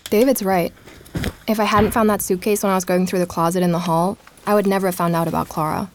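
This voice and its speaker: An actress playing a curious, intent woman journalist who narrates an investigative audio drama in a measured, confiding way. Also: on the low side, steady tone, a little hiss or hum, natural voice. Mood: reflective, intent. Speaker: podcast host and narrator.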